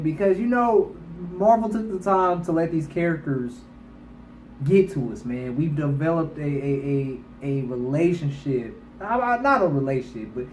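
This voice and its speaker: A man with a slightly high voice talks in a very echoey room with a faint layer of noise. He reviews movies for online viewers in a casual, colloquial way. His delivery is emphatic, often repeating phrases to stress them. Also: darkish tone.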